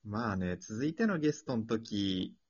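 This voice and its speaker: A man's voice: lowish voice, animated, unhurried, husky. A man hosting an improvised drama show, chatting casually and informally after a performance. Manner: colloquial, informal phrasing. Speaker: host of an improvised radio drama series. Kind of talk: casual post-show chat. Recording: dull lo-fi audio.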